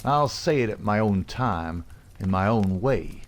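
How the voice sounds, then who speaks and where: A man's voice: lowish voice, expressive, a little slow. Man voicing a furious, jealous young thug. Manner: furious, snarling. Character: jealous, hot-tempered young male thug.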